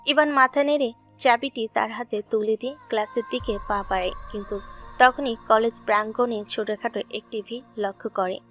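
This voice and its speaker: A woman's voice: a little high, fairly even intonation, moderate pace, fairly bright tone, faint music behind. A woman reading scripted story narration as the narrator of an audio drama.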